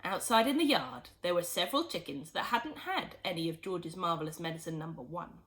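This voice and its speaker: A woman reading a children's storybook aloud in expressive, scripted narration. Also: lowish voice, brisk. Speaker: storybook reader.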